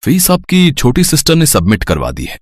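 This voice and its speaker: A man acting a calm, helpful figure in a family story, speaking reassuringly. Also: medium pitch, normal speed, no room sound, studio quality. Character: calm, helpful men of a family tale, doctor and brother.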